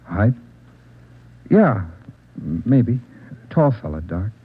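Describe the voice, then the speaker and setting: A man's voice: lowish voice, big pitch swings, drawn-out words, breathy edge, exaggerated voice. An American man playing an evasive, jittery drug addict under questioning, stammering and pleading in old radio drama style. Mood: evasive, defensive. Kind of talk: mid-century radio drama acting. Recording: muffled old radio recording.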